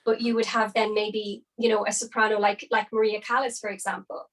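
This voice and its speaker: A woman's voice: mid-range voice, flat delivery, a little fast, a bit bright, rough recording. A young woman, an opera singer, chatting as a podcast guest and explaining things with lots of hedging fillers. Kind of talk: casual interview chat.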